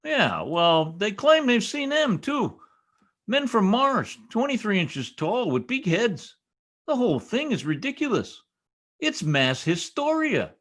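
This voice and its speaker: A blustery, stubborn husband from a 1940s-style radio comedy, acted by a man. His pitch swings wildly as he makes emphatic, folksy pronouncements and trips over big words. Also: huge pitch swings, takes it slowly, a bit bright, exaggerated voice. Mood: scornful, blustery.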